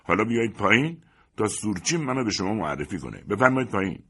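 A man voicing a proud, indignant gentleman in a dramatic story reading, pompous and scornful in his declamatory speech. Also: sits low, sing-song, slightly rough, full character voice. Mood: angry, defiant.

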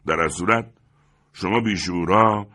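A man voicing a proud, indignant gentleman in a dramatic story reading, pompous and scornful in his declamatory speech. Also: low-pitched, close to an everyday voice. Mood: haughty.

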